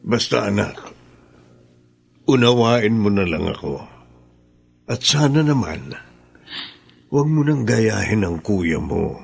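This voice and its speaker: A man's voice: fairly low pitch, sing-song, slow pace, gravelly, pauses often, slightly rough, heavily acted. An actor in a Filipino radio drama, playing an older man who loves possessively. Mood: tender, pleading.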